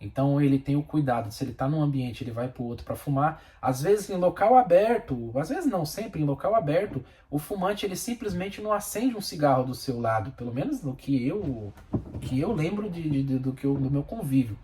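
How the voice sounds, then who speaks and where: A man's voice: a little high, animated, a little fast, warm tone. A Brazilian man speaking Portuguese with a Brazilian accent, a bus driver giving his plain-spoken, opinionated views in a casual vlog and repeating himself for emphasis.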